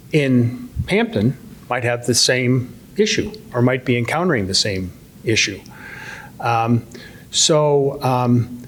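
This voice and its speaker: Guy opening a town hall, mid voice, lots of ums, kinda halting. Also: mid-range voice, slight background noise. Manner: halting, many "um" fillers. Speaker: state representative, event organizer. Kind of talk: introducing a speaker at a town hall.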